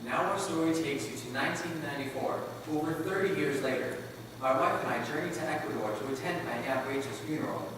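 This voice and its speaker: A man's voice: on the high side, fairly quick, natural voice. A man playing the grieving grown son of a slain missionary, telling his story earnestly in a stage recital. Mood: reflective. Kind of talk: dramatic stage recital, reader's theater. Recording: very echoey hall from far off, faint noise.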